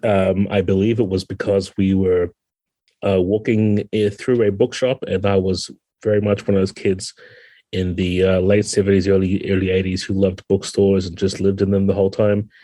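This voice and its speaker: Low, husky man from New Zealand. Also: little pitch movement, moderate pace, mellow, no room sound. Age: 50s. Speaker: interview guest, game designer. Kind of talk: casual conversational interview.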